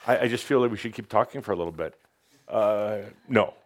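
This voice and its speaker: A man's voice: on the low side, brisk. A middle-aged man co-hosting a live studio show in casual chat, joking and laughing often. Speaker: co-host.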